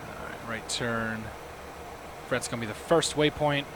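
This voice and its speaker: A hoarse man hosting a livestream, chatting casually and talking himself through each cockpit step as he flies a simulator. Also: mid-range voice, fairly even intonation, a little slow, noisy background. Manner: talks himself through cockpit steps, chats with viewers. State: losing his voice, hoarse. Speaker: livestream host. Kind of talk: casual commentary while flying a simulator.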